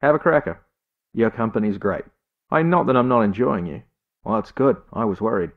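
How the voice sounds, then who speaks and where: Gravelly, awkwardly friendly fellow. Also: male voice, on the low side, expressive, brisk. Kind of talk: video game dialogue acting. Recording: thin phone-like sound.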